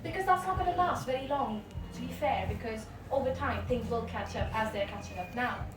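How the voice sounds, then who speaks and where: A woman from India, a therapist, speaking in an animated way on a discussion panel. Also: on the high side, brisk, background noise. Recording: echoey, far from the mic, with music behind.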